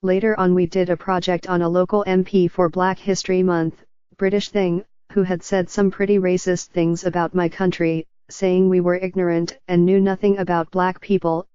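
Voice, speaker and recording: A text-to-speech voice of a woman reading forum posts aloud in an even, unvaried machine delivery. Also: lowish voice, normal speed, smooth, no room sound, dull audio. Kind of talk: synthetic narration of posts.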